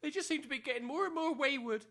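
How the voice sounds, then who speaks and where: A woman's voice: sits high, quick pace, gravelly, fairly bright tone. A woman playing an anxious, pleading working-class village mother in an amateur comedy audio drama, flustered and apologetic. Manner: flustered, apologetic, non-standard grammar.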